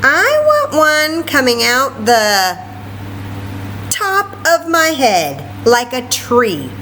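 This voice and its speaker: A woman's voice: high voice, big pitch swings, drawn-out words, pushed and bright, pitched above the performer's own voice, full character voice. A woman plays a headstrong little storybook schoolgirl, sure of herself and defiant. The voice is raised and emphatic, stretching words out, with faint noise behind. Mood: insistent. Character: stubborn, self-assured little schoolgirl in a storybook.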